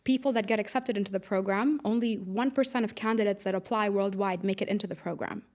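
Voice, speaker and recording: Podcast guest: woman from the UAE, mid-pitched, informal conversational explainer and storyteller. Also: quick pace, a little mellow. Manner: informal, explains with everyday analogies. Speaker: podcast guest, air traffic controller.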